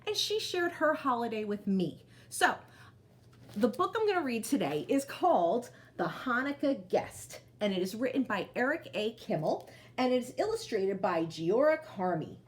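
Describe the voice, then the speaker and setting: A woman storyteller reading aloud to children, expressive, with chatty asides that speak to them directly. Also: on the high side.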